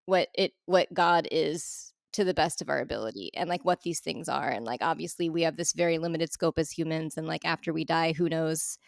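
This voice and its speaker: A woman's voice: sits low, steady tone, a little fast, vocal fry, few pauses, no room sound, studio quality. A young woman from Ohio, a podcast guest talking in a reflective, rambling way with many fillers. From Ohio, USA. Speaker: interview guest. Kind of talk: casual interview chat.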